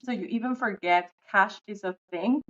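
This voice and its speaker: A woman's voice: mid-range voice, normal speed, dull audio. A woman in her thirties from Venezuela co-hosting a money podcast, talking in a friendly, explanatory way with some fillers. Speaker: podcast co-host. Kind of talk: casual podcast chat.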